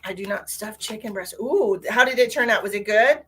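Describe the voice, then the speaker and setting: A woman's voice: mid-range voice, a little fast, fairly bright tone, breathy edge. Lady psychic, kinda stuffed up, chatty and sweet to her viewers. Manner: chatty and affectionate, addresses viewers directly. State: stuffy, congested. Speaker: psychic tarot reader. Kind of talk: live-stream tarot reading, chatting with viewers.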